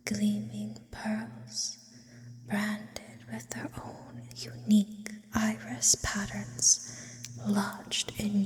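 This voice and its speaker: A breathy, whispered female demon acted out by a woman hangs in long reverb. She is eloquent, brooding and lonely, an immortal creature of sleep paralysis in an ASMR roleplay. Her words come slowly, with lingering pauses and very little swing in pitch. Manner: slow, philosophical, ornate wording with lingering pauses.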